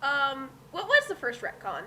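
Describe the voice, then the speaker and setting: A woman's voice: high-pitched, huge pitch swings, moderate pace, bright, forceful tone, slight background noise. A woman co-hosting a story reading in casual, joking chat, with a questioning, teasing manner. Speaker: co-host of fan fiction reading.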